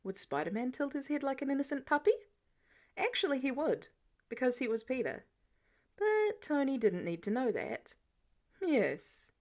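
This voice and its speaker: A woman's voice: high-pitched, very animated, moderate pace, a little mellow. A woman reading scripted fiction narration as an audiobook narrator. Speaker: podfic narrator.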